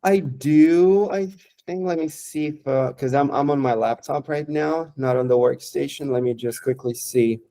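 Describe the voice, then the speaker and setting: Mellow-voiced man demoing software. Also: mid-range voice. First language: Romanian. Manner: halting, lots of fillers and restarts. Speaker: software developer. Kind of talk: casual screen-share product demo.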